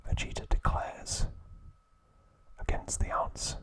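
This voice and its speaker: Male ASMR narrator, unhurried whisper, soft and steady, relaxed asides. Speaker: ASMR creator.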